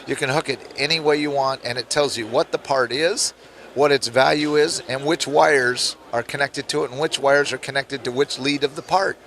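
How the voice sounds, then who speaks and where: A man with a rough throat, explaining things casually as an interview guest. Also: medium pitch, steady tone, normal speed, fairly bright tone, slight background noise. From Nebraska, United States. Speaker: interview guest, electronics kit hobbyist.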